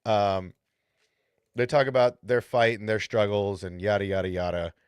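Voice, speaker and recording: A man with a slight lisp talks through his thoughts in a relaxed, meandering way. His voice sits low and the sound is dry and close, with no room around it. He keeps asking "right?" to pull his listener along. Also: moderate pace, clean tone, no echo. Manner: rambling, asks "right?" often. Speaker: podcast host. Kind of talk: casual chat.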